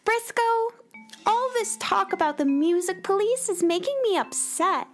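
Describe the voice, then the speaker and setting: Young space cowgirl for kids' radio: extremely high, girlish, earnest, very expressive. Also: a little slow, soft background music. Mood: uneasy, determined. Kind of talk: children's radio drama acting.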